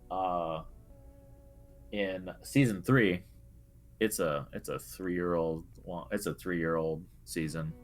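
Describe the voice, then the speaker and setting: A man co-hosting a video game discussion, speaking casually and haltingly as he thinks aloud. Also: sits low.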